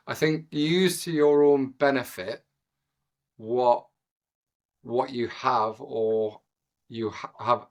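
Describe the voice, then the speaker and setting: Rambling Geordie man in his forties. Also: lowish voice. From North East England. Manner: rambling, full of "you know" and filler pauses. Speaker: guest. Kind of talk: casual podcast conversation.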